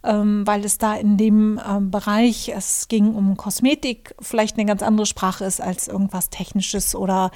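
A woman's voice: medium pitch, flat delivery, moderate pace, few pauses, warm tone. A German woman hosting her own podcast, talking through her thoughts in a reflective monologue with many fillers and restarts. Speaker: podcast host, journalist and author.

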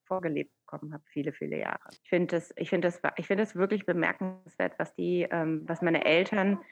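Woman in her 30s, born in iran, lowish gravelly voice, lots of ums, video call. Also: steady tone, fairly quick, music in the background. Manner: thoughtful, many hesitations. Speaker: guest. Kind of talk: casual interview conversation.

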